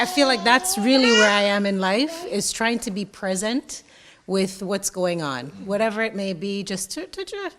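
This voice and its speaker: Woman from Jamaica, interview guest, reflective, unhurried, mid pitch. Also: fairly bright tone. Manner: reflective, unhurried, speaks of slowing down. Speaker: interview guest, college IT teacher. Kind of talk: relaxed conversational interview answers.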